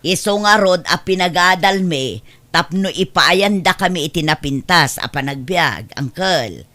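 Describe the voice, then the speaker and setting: Pushy, materialistic mother, middle-aged, Ilocano accent, forceful bright delivery, acted by a woman. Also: on the low side, lively intonation, a little slow, dry recording. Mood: defensive. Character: demanding, materialistic mother living off her working child. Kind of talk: Ilocano audio drama acting.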